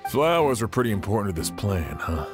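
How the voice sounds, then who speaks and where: Cool, observant investigator, low measured dry voice, anime game, music behind. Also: male voice, very animated.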